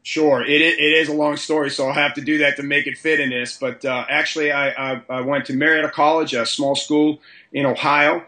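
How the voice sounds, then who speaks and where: A man's voice: fairly high pitch, little pitch movement, fairly quick, bright, forceful tone. A man with a mixed Boston and Southern US accent, an interview guest speaking conversationally and humbly. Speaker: high school strength coach.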